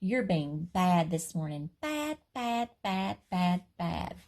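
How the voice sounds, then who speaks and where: A woman's voice: lowish voice, big pitch swings, slow pace, darkish tone, full character voice. A woman voicing a bossy little girl classmate who taunts in a singsong way. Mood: taunting.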